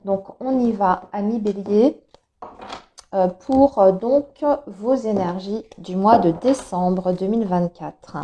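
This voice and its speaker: A woman talks through a monthly tarot spread, her voice soft and mellow. A cold has left her throat a touch hoarse. She hesitates often and fills gaps while she explains each card. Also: mid-range voice, takes it slowly, slight background noise. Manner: hesitant, many filler sounds, explanatory. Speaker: tarot reader. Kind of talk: monthly astrology tarot reading.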